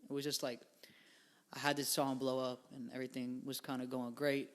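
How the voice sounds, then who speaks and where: A young man in his twenties sits in as a podcast guest and talks loosely, stringing thoughts together with fillers and slang. His voice sits at an ordinary middle pitch. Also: little pitch movement, brisk. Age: 20s. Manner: rambling, filler-heavy, laid-back slang. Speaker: guest musician. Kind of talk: casual podcast interview.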